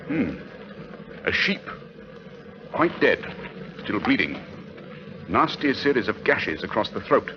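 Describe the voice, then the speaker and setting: Keen gentleman sleuth, precise and animated, 1940s radio drama with strong hiss. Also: fairly low pitch, slow, pauses often. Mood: intent, brisk. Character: famous detective, keen, inquisitive, commanding gentleman. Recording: noisy, muffled 1940s radio recording.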